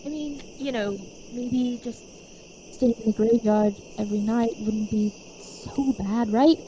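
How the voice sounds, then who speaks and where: A woman playing a hesitant, apologetic young teammate in a webtoon fan dub, speaking tentatively and haltingly. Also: a little high, fairly even intonation, mellow, a little hiss or hum, close to an everyday voice, rough recording. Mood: nervous, pleading.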